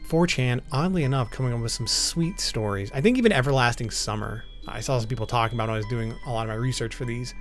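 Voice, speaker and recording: A man's voice: medium pitch, fast, few pauses, soft background music. A man narrating an explainer video in an informal, semi-scripted way.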